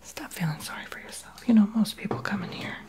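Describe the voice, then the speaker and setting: A man's voice: high voice, fast talker, lightly acted. A young man voicing a sassy, unqualified therapist in a whispered ASMR roleplay. He whispers blunt, sarcastic remarks close to the mic in a small home office. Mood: scolding. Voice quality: soft unvoiced whisper. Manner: blunt, sarcastic, gossipy sass. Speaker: ASMR creator.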